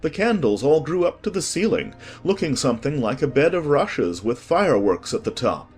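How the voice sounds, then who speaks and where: A man narrating a scripted storybook in an expressive, deliberate way. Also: mid-range voice. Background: music.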